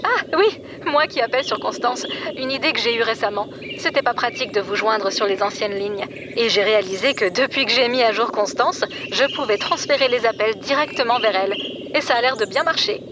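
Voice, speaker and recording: Woman inventor actress, audio drama: bubbly, distracted, slightly high voice with noticeable background noise. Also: a little fast, lightly acted. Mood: pleased, excited. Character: chirpy, absorbed woman inventor, tinkering with tech.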